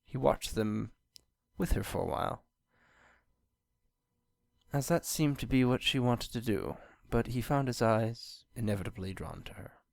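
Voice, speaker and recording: Lively, animated story narrator, dry sound. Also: mid-range voice, measured pace, gravelly, pauses often, dry recording. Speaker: fan fiction reader, narrator.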